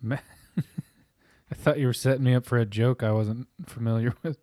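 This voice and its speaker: Hoarse, loose-talking man in a dry room. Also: mid-range voice, fairly even intonation, normal speed, warm tone, no room sound. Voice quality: hoarse and raspy. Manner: loose, profane, rambling with restarts. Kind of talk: casual chat.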